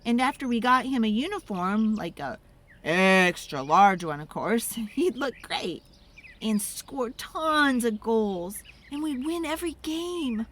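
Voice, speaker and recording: A woman voicing an eager, pleading young child in an excitable, dramatic storybook read-aloud. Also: a little high, full character voice. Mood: eager, boastful. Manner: wheedling, excitable, dramatic, drawn-out emphasis.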